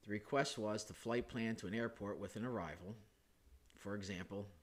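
A man, hoarse from a chest cold, hosting a screen-recorded flight simulator how-to, talking it through casually with self-corrections and filler sounds. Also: on the low side, normal speed. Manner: relaxed, thinking aloud with frequent uh and self-corrections. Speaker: flight sim hobbyist host.

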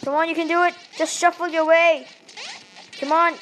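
A woman from Malaysia with a Malaysian accent, giving excitable let's-play commentary into a tablet microphone held up to her face, yelping and pleading at the game. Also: very high-pitched, normal speed, a little hiss or hum, low-quality recording. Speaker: gaming channel host.

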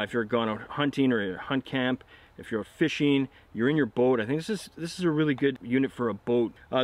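Man giving a casual gear review. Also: medium pitch. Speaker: product reviewer. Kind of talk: casual product review to camera.

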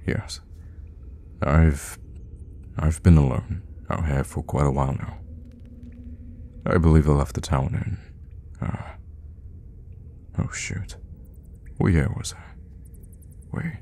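A man playing a mysterious, outwardly friendly vampire hermit in an ASMR audio roleplay, talking in a halting, softly teasing way with quiet menace. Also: a bass voice, slight background noise, heavily acted. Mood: musing, flustered. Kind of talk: ASMR audio roleplay, intimate in-character monologue.

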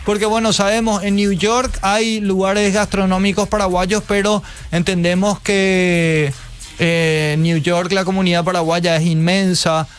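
A man's voice: sits high, flat delivery, unhurried, clear, resonant tone, faint music behind. A man, hosting a radio interview in a studio, speaking in a friendly, chatty way. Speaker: radio host. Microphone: studio mic with headphones.

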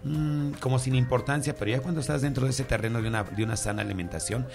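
A Mexican man who runs a gym, talking casually on a talk show and giving steady advice with "este" fillers. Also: mid-range voice, creaky, over music. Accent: Mexican Spanish. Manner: advisory, measured, uses fillers like "este". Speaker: gym trainer, co-host.

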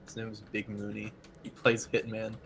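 A man's voice: lowish voice, monotone, normal speed, gravelly, a bit bright. A young man whose first language is French, chatting casually and laughing while gaming with a friend. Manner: laid-back, laughs often, swears casually. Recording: faint background noise.

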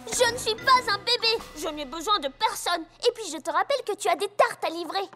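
Cartoon filly, childlike and very high-pitched, insistent, expressive little-sister energy. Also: female voice, fairly quick, heavily acted. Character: plucky young filly, exasperated little sister. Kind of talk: animated cartoon dub acting. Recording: music behind the voice.

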